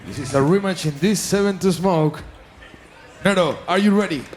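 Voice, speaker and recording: A man emceeing a live event, announcing in a drawn-out way. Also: high voice. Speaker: battle emcee. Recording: noisy live event audio played back.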